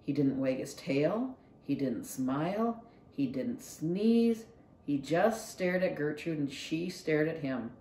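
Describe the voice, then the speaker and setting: A woman's voice: low voice, animated, slow, dark tone. A woman narrating a children's storybook aloud expressively, as a library read-along reader. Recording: roomy echo.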